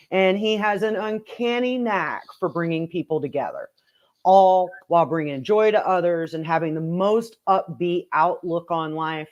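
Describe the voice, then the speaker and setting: A woman hosting an interview, enthusiastic and quick to laugh, often restarting phrases. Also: fairly low pitch, a little mellow. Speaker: host.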